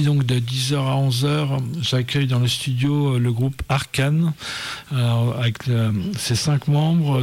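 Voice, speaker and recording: A man's voice: mid-range voice, a little mellow. A man hosting a radio music show in the studio, interviewing a band with many hesitations and fillers.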